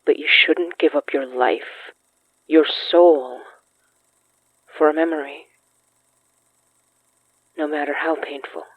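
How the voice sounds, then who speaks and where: A woman's voice: low voice, steady tone, slow pace, pauses often, husky, no room sound, narrow, tinny audio, full character voice. A woman playing an earnest, stubborn young woman who confronts ghosts in an audio horror drama. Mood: sombre, quiet. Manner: earnest, insistent, pleading.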